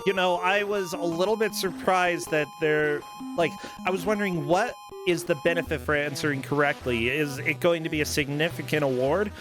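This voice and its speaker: A man's voice: on the high side, normal speed, few pauses, music in the background, low-quality recording. A man co-hosting a casual chat about a game, playful and enthusiastic. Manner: playful, enthusiastic, joking asides.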